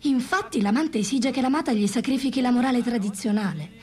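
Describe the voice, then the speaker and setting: A woman's voice: a little high, quick pace, warm tone, close to an everyday voice. An actress playing a cultured, questioning female intellectual in a radio drama, speaking articulately. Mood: earnest, probing. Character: cultured, probing woman, intellectual companion of a philosopher.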